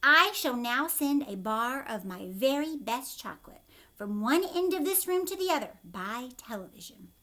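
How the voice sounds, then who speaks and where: A woman voicing an excitable, bossy male chocolate inventor in a children's read-aloud, exclaiming emphatically like a showman. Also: sits high, normal speed. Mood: commanding.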